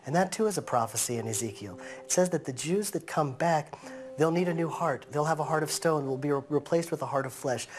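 A man in his thirties, raised in the United States, speaking earnestly in a sit-down interview on a living-room couch and slowing down to stress his points. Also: fairly high pitch.

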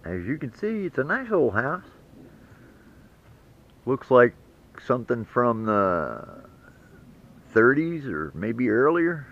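A man's voice: mid-range voice, sing-song, takes it slowly, pauses often. A man narrating a metal detecting trip in a folksy, joking way, filmed outdoors and from inside a truck. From West Virginia. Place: outdoors in woods and in a truck on a rough trail. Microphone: action camera. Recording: muffled camera audio with loud engine noise.

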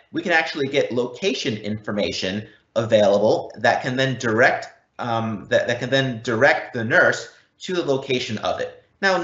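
A man giving an explanatory software demo as a product presenter, in a didactic way with emphatic repetition. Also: medium pitch. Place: indoor room, recorded live online event. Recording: muffled, roomy live-event recording.

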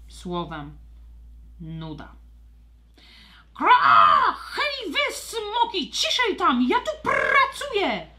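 A woman narrating a scripted children's audio story with expressive, storybook delivery. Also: fairly low pitch.

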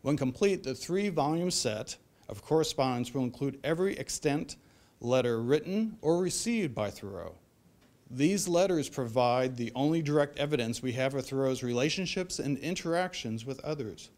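A man's voice: a little high, a little mellow. A middle-aged American man reading a prepared introduction as host of a public talk. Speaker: event host introducing a speaker. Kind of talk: prepared introduction speech, read aloud. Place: library event room, at a lectern.

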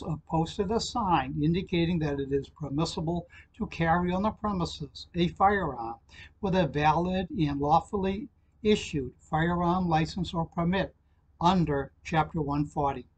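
An older man speaks in a fairly high, husky voice, delivering a prepared alert in a formal, measured way. He slows down to read out numbers and web addresses, recorded at a desk in a small office. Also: poor audio. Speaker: channel host giving a legislative alert. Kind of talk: prepared informational address to camera.

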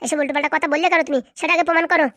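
A woman's voice: high voice, fairly even intonation, rapid-fire, fairly bright tone, no echo. A woman playing an aggrieved, stubborn young wife, argumentative and emphatic, in a comic cartoon. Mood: angry, accusing. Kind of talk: comic cartoon drama dialogue.

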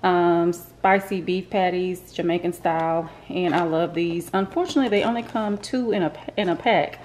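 Chatty woman with a lowish voice. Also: darkish tone, slight background noise. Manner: chatty and rambling, with many fillers and self-corrections. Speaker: vlogger. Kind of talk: casual grocery haul talk. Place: home kitchen, indoors. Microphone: camera pointed at the counter, speaker off-screen.

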